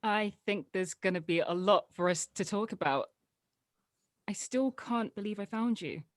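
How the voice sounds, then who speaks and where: A woman's voice: mid-range voice, normal speed, no echo, studio quality, close to an everyday voice. A woman playing a blunt, teasing teenage daughter with youthful energy in a drama table read. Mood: earnest. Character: blunt, excited teenage daughter reunited with her dad.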